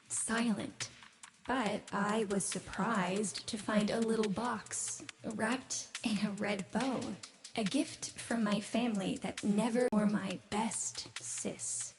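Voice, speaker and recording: A woman playing a bitter, haughty scientist and specimen collector in a video game, speaking with theatrical disdain. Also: mid-range voice. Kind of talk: video game character acting. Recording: music behind the voice.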